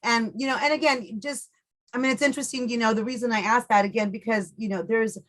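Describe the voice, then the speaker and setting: A woman's voice: on the high side, steady tone, quick pace, fairly bright tone. Informal, rambling female radio host. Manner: informal, rambling, frequent "you know". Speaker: radio show host, interviewer. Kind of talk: casual radio interview.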